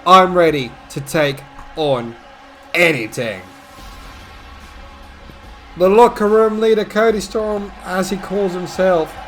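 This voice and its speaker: Guy doing wrestling announcing, higher voice, hams it up loud then drops low, bit of music under it. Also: slow, long pauses. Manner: theatrical, swings between booming shouts and low asides. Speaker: wrestling e-fed announcer and commentator. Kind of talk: play-by-play ring announcing and commentary.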